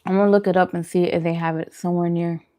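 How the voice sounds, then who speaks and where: A woman's voice: fairly low pitch, level tone, fast, dark tone. A woman hosting a mukbang, chatting casually to viewers between bites with murmured reactions. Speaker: mukbang host. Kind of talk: casual eating chat to viewers.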